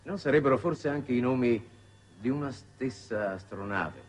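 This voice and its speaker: An actor playing a shrewd, weary middle-aged statesman in a 1960s Italian television drama, speaking in formal, measured phrases. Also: medium pitch, animated, close to an everyday voice. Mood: probing, ironic. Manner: measured, formal, deliberate oratory. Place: television studio set. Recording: noisy old 1960s television soundtrack.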